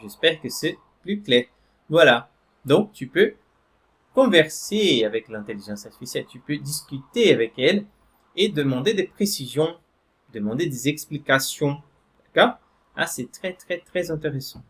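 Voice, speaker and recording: Man teaching French slowly. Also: a little high, lively intonation, fairly bright tone, husky. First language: Portuguese. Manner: slow, repeats "d'accord?" and "voilà" to check in; reads screen text aloud while explaining. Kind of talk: online language lesson.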